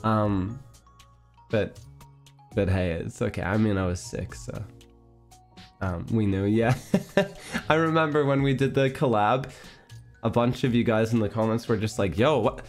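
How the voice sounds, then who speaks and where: Man, casual streamer chat, rambling, restarts, soft laughs, average pitch.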